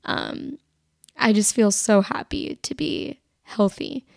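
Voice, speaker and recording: A young woman with a raspy voice hosting her own podcast, chatting casually and rambling with plenty of "like", tired as she recovers from being sick. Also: mid-range voice, slow, no echo, studio quality. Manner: rambling, filler-heavy, lots of "like". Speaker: podcast host. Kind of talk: casual solo podcast chat.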